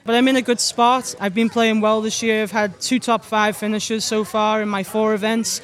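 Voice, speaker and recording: A woman's voice: on the high side, flat delivery, moderate pace. A young woman from Liverpool with a Scouse-Irish accent, answering interview questions as a golf pro in a chatty, upbeat way. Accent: Scouse with Irish mix. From Liverpool, England. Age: young adult.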